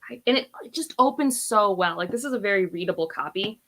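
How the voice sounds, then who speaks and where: A woman's voice: mid-range voice, expressive, fast talker, a bit bright. A woman in her thirties chatting casually and emphatically about books, rambling and opinionated. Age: 30s. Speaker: book vlogger on a read-along panel. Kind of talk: casual book chat.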